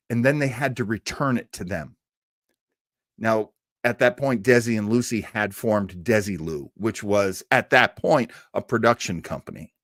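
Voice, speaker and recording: A man's voice: medium pitch, dry recording. American man hosting, dry close sound. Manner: enthusiastic fan storytelling. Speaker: host of an old-time radio show; performer. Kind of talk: casual livestream talk to viewers.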